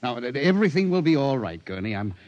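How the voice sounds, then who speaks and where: Actor as placating boss on muffled radio. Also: male voice, mid-range voice, very animated, fast, darkish tone, lightly acted. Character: brisk, condescending male boss. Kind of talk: 1950s radio drama acting. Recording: muffled vintage radio recording.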